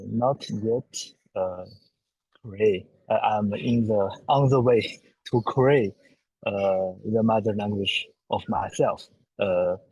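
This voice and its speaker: Low, husky, slow-spoken Chinese-accented man. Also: a little mellow, dry recording, rough recording. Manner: halting, searching for words in non-native English. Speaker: visual artist guest. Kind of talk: informal panel discussion.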